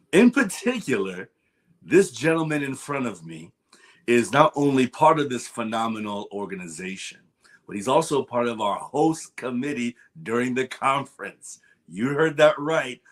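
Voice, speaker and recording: A man's voice: mid-range voice, fairly bright tone. Upbeat man hosting an interview. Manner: upbeat, emphatic, repeats phrases. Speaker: interview host. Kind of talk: enthusiastic conference promo interview.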